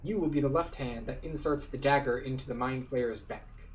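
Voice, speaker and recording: A man's voice: mid-range voice, normal speed, distant mic, a little hiss or hum, natural voice. Man voicing an earnest fantasy quest-giver, slightly off-mic. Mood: grave, urgent. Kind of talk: read-aloud fantasy game dialogue.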